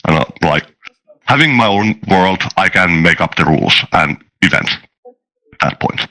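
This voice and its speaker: A man chatting casually as a guest in an interview, talking in a halting, rambling way. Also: sits low, lively intonation, bright, forceful tone, poor audio. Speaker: guest, tabletop game designer and dungeon master. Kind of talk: casual chat, interview answers.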